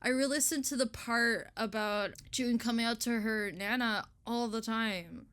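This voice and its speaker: A woman's voice: on the high side, measured pace, fairly bright tone, clear, resonant tone. A woman interviewing in casual chat, reacting in a gushing, slangy way.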